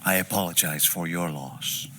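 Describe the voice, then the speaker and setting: A man playing a coldly formal, gloating heroin trafficker in a game cutscene, speaking deliberately and with menace. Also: sits low, fairly even intonation, sharp tone, a little hiss or hum. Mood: cold, menacing. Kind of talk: dramatic game cutscene dialogue.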